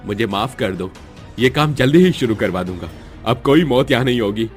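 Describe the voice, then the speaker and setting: A man's voice: medium pitch, lively intonation, normal speed, soft background music, lightly acted. A man voicing a remorseful railway official in a cartoon story, begging and apologizing. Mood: pleading. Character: remorseful middle-aged male railway official.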